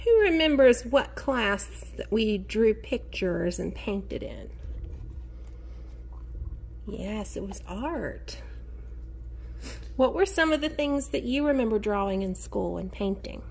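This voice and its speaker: A woman teacher reading a picture book aloud to young children in an expressive sing-song way, encouraging them and asking them questions. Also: on the high side, vocal fry, breathy edge. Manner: expressive, sing-song, drawn-out key words; encouraging, addresses children directly.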